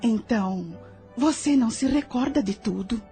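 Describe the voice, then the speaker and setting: Woman playing gentle calming spirit nurse, soft mellow velvety voice, audio drama, faint music behind her. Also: a little high, moderate pace. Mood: patient, guiding. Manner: calm, soothing, tender. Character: gentle, soothing female spirit caretaker of the newly dead.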